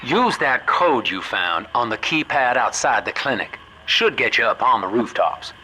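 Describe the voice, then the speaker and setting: Folksy male mentor voiced by an actor, mid-pitched, avuncular, colloquial coaching, clear noise behind. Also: lively intonation, normal speed, lightly acted. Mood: coaxing, instructive. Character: smooth, folksy guide coaching a younger protégé. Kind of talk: scripted video game guidance.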